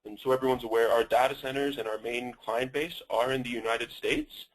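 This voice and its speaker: A man's voice: medium pitch, steady tone, brisk, rough recording. A man with a Canadian accent presenting a company in a webinar, talking with many fillers and restarts.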